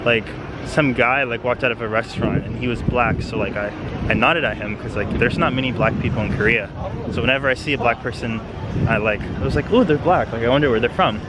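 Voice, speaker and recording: A man's voice: mid-range voice, fairly quick. A young American man from the United States vlogging as a study-abroad student, talking casually and rambling with lots of fillers while walking outdoors on city streets. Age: young adult. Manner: rambling, filler-heavy, chatty. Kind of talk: casual vlog narration. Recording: street noise behind.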